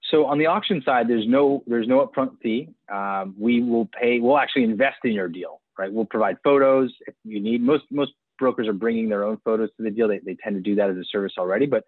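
A man in his forties on a video call, explaining his business in an eager sales pitch with frequent restarts. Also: a little fast, few pauses, dry recording. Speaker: auction platform executive. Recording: tinny phone-like video call audio.

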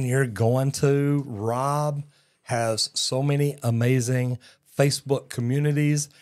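A man's voice: medium pitch, darkish tone. A man, hosting a casual live talk show in a studio, folksy and upbeat, often calling people "man". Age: 60s. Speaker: podcast host. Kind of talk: casual live-stream chat. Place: studio set. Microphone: broadcast mic on boom arm.

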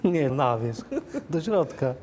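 A man's voice: mid-range voice, animated, takes it slowly, background noise. A man hosting in casual, jokey chat, talking informally with slang as he gets guests set up. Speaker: host fitting guests with lapel microphones. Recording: loud music over the voice.

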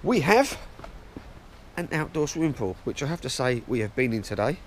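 A man's voice: medium pitch, sing-song, normal speed. Chatty blokey man on an action cam. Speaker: motorcycle vlogger. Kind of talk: casual travel vlog. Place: outdoors, hotel grounds and ferry deck. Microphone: action camera held at arm's length. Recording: outdoor camera with clear background noise.

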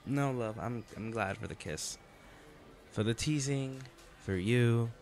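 A man playing a devoted, teasing and self-blaming boyfriend in a romantic audio roleplay, speaking haltingly and tenderly. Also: medium pitch, a little mellow, soft background music. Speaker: voice actor.

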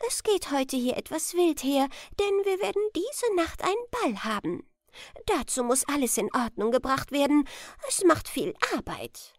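Woman voicing a high-pitched, brisk storybook mouse. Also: lively intonation, darkish tone, no echo, clean studio sound. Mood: busy, caring. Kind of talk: storybook character voice.

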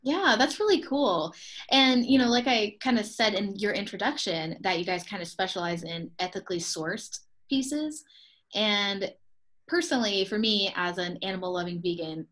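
A woman's voice: medium pitch, animated, normal speed, a bit bright. A young woman hosting a casual video call interview, upbeat and chatty, often laughing between thoughts. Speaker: host, expo co-owner.

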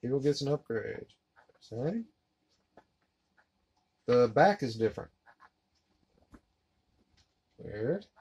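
A man in his thirties giving a relaxed, rambling unboxing commentary while handling discs at his desk. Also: medium pitch, very slow, long pauses, husky. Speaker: collector unboxing a disc set. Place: collectibles room indoors. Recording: noisy home recording.

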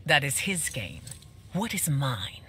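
Casting: a cold, commanding game sorceress queen with animated pitch, heard through loud ambience. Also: heavy background noise, heavily acted. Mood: cold, demanding. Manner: haughty, commanding, deliberate. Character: imperious, scheming queen and sorceress, coldly regal. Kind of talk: video game fighter intro dialogue.

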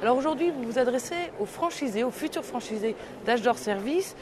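A woman's voice: high-pitched, animated, brisk, crowd noise. A woman interviewer putting prepared questions to a guest in a broadcast style. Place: trade fair interview stand, indoors. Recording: clearly noisy exhibition hall ambience.

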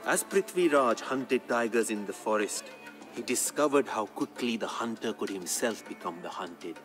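An actor playing a court poet who solemnly tells his king's legend in a measured, grave, dramatic storytelling style for a game. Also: lowish voice, lively intonation, natural voice. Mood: reverent storytelling. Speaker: narrator. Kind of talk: dramatic scripted storytelling narration. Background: background music.

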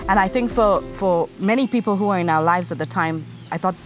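Woman from Kenya, low voice, music behind. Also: fairly quick. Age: 30s. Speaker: company co-founder. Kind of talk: casual presentation to camera. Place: outdoors in a garden.

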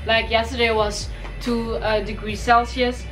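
A woman's voice: a little high, flat delivery, unhurried, music in the background. A young woman from the Caribbean, chatty and upbeat, talking through a shopping haul in a bedroom while she has a cold. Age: young adult. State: has a cold, voice may crack. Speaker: fashion vlogger. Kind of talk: casual shopping haul vlog. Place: bedroom, indoors.

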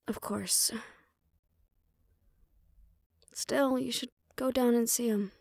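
A woman's voice: a little high, steady tone, measured pace, gravelly, darkish tone, no room sound, studio quality. A woman playing a defiant, loyal young banshee daughter in an audio roleplay, sarcastic and casual. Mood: guarded, wry.

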